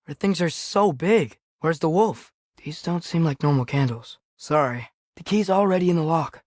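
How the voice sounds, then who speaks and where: A man's voice: fairly high pitch, moderate pace, a little mellow, no echo, clean studio sound, natural voice. A young male actor playing an earnest, curious, impulsive teenage boy in a Norse myth video game, speaking naturally with a hesitant, boyish manner. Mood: gentle, awkward.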